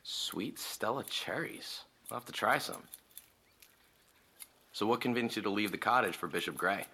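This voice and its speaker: Audio drama role: rich American senior boy, deep but crisp-edged, casual and teasing. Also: moderate pace, bright, forceful tone, full character voice. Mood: playful, teasing. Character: laid-back, teasing rich legacy high school senior boy. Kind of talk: conversational audio drama acting.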